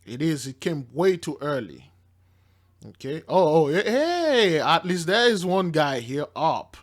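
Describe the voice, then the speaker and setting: A man's voice: fairly high pitch, very animated, a little slow. A man commenting informally on news and viewer comments from a religious angle, speaking emphatically and repeating himself.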